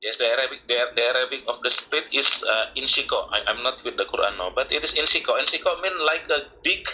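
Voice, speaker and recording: A man's voice: mid-range voice, quick pace, bright, forceful tone, a little hiss or hum, poor audio. A man stammering hesitantly as he defends his view on a live debate call. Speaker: debate caller.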